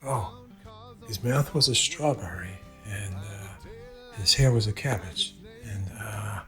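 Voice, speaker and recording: A man playing an exhausted middle-aged father, drowsily improvising a bedtime story in halting phrases. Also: on the low side, bright, forceful tone, exaggerated voice. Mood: drowsy. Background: guitar music.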